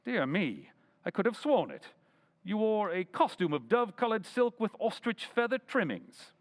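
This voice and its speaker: A keen, courteous detective from an old-time radio play, acted by a man. His voice sits high and moves with lively, theatrical swings. He is self-assured and inquisitive, questioning with polish. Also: moderate pace. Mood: suavely polite.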